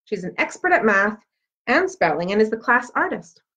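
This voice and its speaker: A woman's voice: fairly high pitch, big pitch swings, moderate pace, pushed and bright, slightly rough, heavily acted. A woman voicing a friendly, eager young schoolboy in a children's storybook. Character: friendly, eager young schoolboy who likes sea creatures. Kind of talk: children's storybook read aloud.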